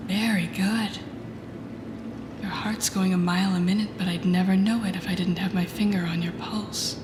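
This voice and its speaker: A woman playing a telepathic villain, a guarded and intense mentor with a secretly tender side, in an intimate audio roleplay with slow, breathy, deliberate delivery. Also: medium pitch, steady tone, background noise, close to an everyday voice. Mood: soft, coaxing. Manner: slow, deliberate, often dropping into breathy near-whispers. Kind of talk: intimate audio roleplay acting addressed to the listener. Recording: roomy echo with music behind.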